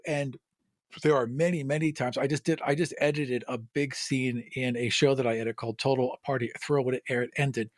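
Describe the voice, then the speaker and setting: A man's voice: mid-range voice, fairly even intonation, brisk, dry recording. A man talks in a casual brainstorming chat with a mid-range voice and a dry recording. He is emphatic, swears freely and tends to repeat phrases as he builds a point.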